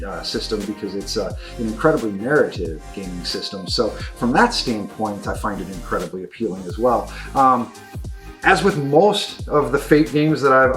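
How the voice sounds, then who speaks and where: A man with a mid-pitched voice presents an unscripted review of new games, with music playing behind him. He is effusive and emphatic, doubling up words as he stresses what he likes. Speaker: game shop reviewer presenting new releases. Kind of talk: enthusiastic product review, unscripted talk.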